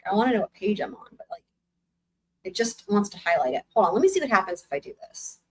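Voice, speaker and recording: A young woman hosting a live book reading, breaking off for blunt, sarcastic comments, her voice going hoarse. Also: medium pitch, very animated, fast. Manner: sarcastic, blunt asides with swearing. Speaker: livestream host reading a book aloud.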